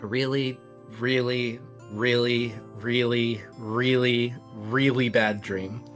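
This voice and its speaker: A woman voicing a gentle, reassuring older sister spirit in a comic fan dub. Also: extremely low pitch, level tone, takes it slowly, soft background music.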